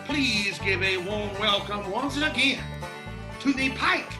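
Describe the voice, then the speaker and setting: An actress playing a proclaiming country festival announcer in a radio drama. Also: female voice, mid-range voice, slow, music in the background, close to an everyday voice. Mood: festive.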